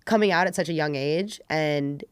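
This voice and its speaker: A woman's voice: low voice, normal speed, clear, resonant tone, no room sound, clean studio sound. A woman talking candidly and informally as a podcast guest, peppering her sentences with "like". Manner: informal, heavy use of "like". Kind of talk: candid casual interview conversation.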